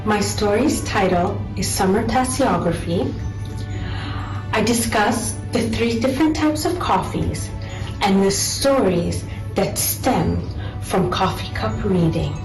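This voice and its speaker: A woman of Syrian and American origin introducing her story slowly and deliberately. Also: mid-range voice, lively intonation, over music. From Syria and the United States. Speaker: author. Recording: distant, echoey recording.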